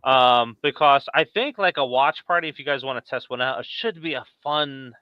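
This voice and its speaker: A man with a smooth, clean voice and a middling pitch hosts a casual film podcast. He talks in a loose, conversational way. The audio is close and dry, with a slightly muffled top end. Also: normal speed, no echo. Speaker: podcast host. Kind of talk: casual chat about horror films.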